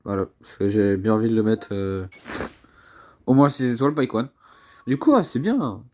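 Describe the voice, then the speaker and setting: Excitable young man with a cold. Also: fairly low pitch, normal speed, a little hiss or hum. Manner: excitable, chatty, repeats words, swears under breath. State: congested with a cold. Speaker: mobile game YouTuber. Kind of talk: casual gameplay commentary.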